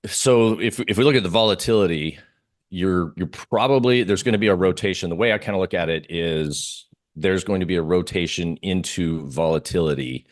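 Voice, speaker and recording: A man giving casual market commentary as an interview guest, explaining in halting phrases with frequent restarts. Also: deep voice, dry recording, clean studio sound.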